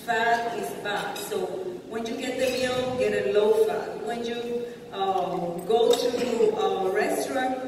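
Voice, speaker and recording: A woman physician in her forties from Puerto Rico, a native Spanish speaker with a Puerto Rican accent, giving a conference lecture in quick, informal English with non-native phrasing. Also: fairly high pitch, background noise. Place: large conference hall. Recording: echoey hall from far off.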